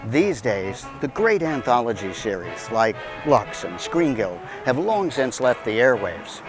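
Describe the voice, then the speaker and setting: American male show host, scripted introduction, animated intonation, music underneath. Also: mid-range voice. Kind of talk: scripted radio-style introduction.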